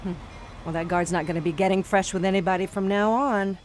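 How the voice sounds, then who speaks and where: An actress playing a tough, bossy bank teller turned thief, wry and slangy in a period radio drama. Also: female voice, mid-range voice, steady tone, fairly quick, slight background noise, close to an everyday voice. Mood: irritated, mocking.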